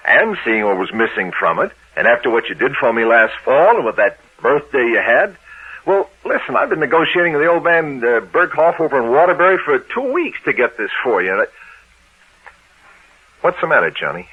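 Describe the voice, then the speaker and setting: A husky American man plays an eager, nervous pal with a lively, moving delivery. The old radio drama recording is thin and tinny, like a phone line. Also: medium pitch, a little fast. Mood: anxious. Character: eager, nervous male friend giving a gift. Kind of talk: 1960s radio drama acting.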